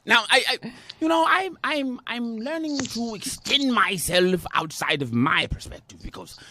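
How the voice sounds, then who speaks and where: A man's voice: very high-pitched, animated, a little slow, bright, forceful tone, slight background noise. A man giving comic, mock-serious commentary as a segment host, in a theatrical, mock-refined manner.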